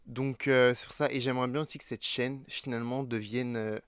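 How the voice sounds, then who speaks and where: A man's voice: mid-range voice, fairly even intonation, fairly quick, clear, resonant tone, no room sound, studio quality. A French teenage boy in his late teens, chatting casually to camera with many fillers and self-corrections. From France. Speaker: YouTuber.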